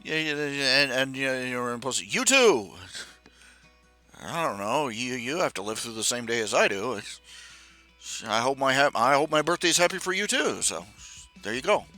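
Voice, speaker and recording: Bright-voiced grumbling man in his forties. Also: mid-range voice, sing-song, normal speed, faint music behind. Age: 40s. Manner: rambling, self-deprecating, grumbling. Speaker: live streamer. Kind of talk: casual live stream chat while fixing software.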